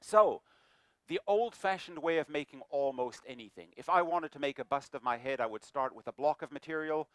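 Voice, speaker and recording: A middle-aged man from New Zealand giving a lively, self-deprecating lecture as guest speaker. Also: fairly high pitch, a little fast, no echo. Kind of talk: lecture talk. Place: lecture room.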